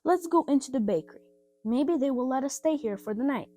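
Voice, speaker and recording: A woman's voice: high-pitched, expressive, fairly quick, mellow, pitched above the performer's own voice. A woman voicing an earnest, plain-spoken, polite orphan boy, an older brother, in a storybook reading. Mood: earnest, kindly.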